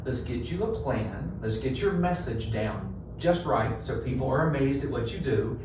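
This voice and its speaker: A middle-aged man with a North Carolina Southern accent, telling stories as a guest in a relaxed interview with a folksy, animated drawl. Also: mid-range voice, moderate pace. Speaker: marketing agency co-founder, guest. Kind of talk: conversational podcast interview. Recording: roomy echo, distant mic, noisy.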